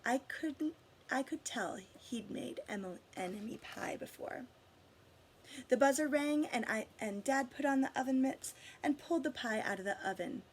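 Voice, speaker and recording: A woman with a slightly high voice reads a storybook aloud to children. She stresses key words, stretches some out and speaks directly to her listeners as she goes. The recording is homemade, with faint noise behind her. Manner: expressive storytelling with dramatic emphasis and drawn-out words. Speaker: adult reading a picture book aloud to children. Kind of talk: storybook read-aloud with asides and questions to listeners.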